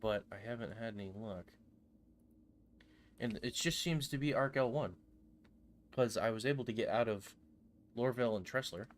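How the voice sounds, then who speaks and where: A man with a stuffy nose from a cold, streaming a game and chatting with viewers in a relaxed way full of fillers. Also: mid-range voice, normal speed, long pauses, a little hiss or hum. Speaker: gaming streamer. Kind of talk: casual live-stream chat while playing.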